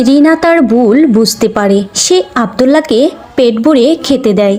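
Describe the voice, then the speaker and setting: A woman's voice: on the high side, lively intonation, normal speed, a little mellow. A woman narrating a scripted children's cartoon story as the storyteller.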